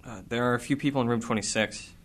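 A man's voice: medium pitch, fast, fairly bright tone, close to an everyday voice. A man playing a nervous, sceptical teenage student in a radio drama, speaking hesitantly and slangily. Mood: wary.

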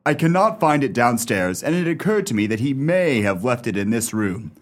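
An actor playing a stuffy old English gentleman in a radio play, speaking in a formal, fussy, slightly faltering way. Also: medium pitch, very animated, normal speed, close to an everyday voice. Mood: awkward, suspicious.

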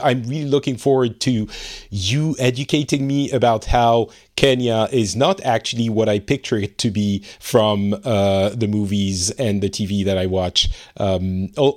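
A man hosts a podcast interview in a relaxed, chatty way, often pausing on fillers and laughing lightly. His voice sits at a middle pitch for a man. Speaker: podcast host. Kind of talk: casual interview chat. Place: home studio in Finland.

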